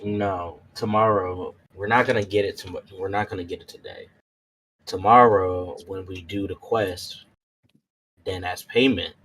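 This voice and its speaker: Low voiced guy at an rpg table, slow and insistent, slight noise. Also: fairly even intonation, fairly bright tone, poor audio. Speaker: tabletop roleplay player. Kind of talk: in-character haggling at a game table.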